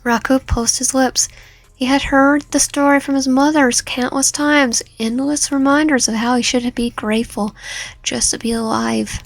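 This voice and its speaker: A woman's voice: sits high, no room sound. A woman narrating a dramatic story read aloud, stumbling over and repeating some words as she reads. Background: background music.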